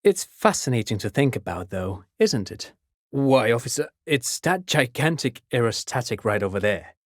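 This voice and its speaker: A man's voice: medium pitch, big pitch swings, normal speed, dry recording, studio quality. A male actor playing a polite, enthusiastic, pedantic intellectual in a video game, explaining things in an erudite, courteous way. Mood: courteous, musing. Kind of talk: video game dialogue acting.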